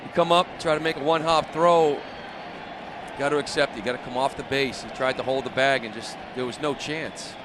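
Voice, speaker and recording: A man's voice: mid-range voice, normal speed. A man giving conversational baseball color commentary, explaining and advising in colloquial, emphatic terms. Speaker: radio baseball color analyst. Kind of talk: conversational sports analysis. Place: ballpark broadcast position. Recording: noisy outdoor stadium ambience. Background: steady outdoor stadium noise.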